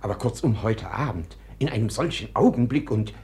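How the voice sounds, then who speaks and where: An actor in a 1950s German radio play, playing a pompous, flustered society gentleman with a halting, fussy delivery. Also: mid-range voice, animated, natural voice. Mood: flustered, reproachful.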